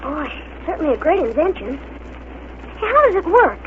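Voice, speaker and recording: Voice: high-pitched, very animated, normal speed. A performer playing an earnest, polite, curious boy sidekick who asks eager questions, in 1930s radio serial style. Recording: scratchy, tinny 1930s radio recording.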